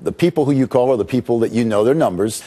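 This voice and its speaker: A man giving an unscripted statement as a lawyer, with somewhat halting phrasing. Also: medium pitch, warm tone. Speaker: lawyer speaking to press. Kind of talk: spontaneous press statement.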